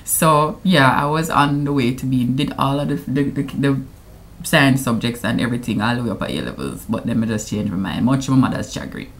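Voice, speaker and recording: A woman's voice: rumbling low, brisk, slight background noise. A woman in her thirties with a Jamaican accent, chatting to camera in a playful vlog and slipping into patois. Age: 30s. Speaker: vlogger. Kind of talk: casual anniversary vlog to camera.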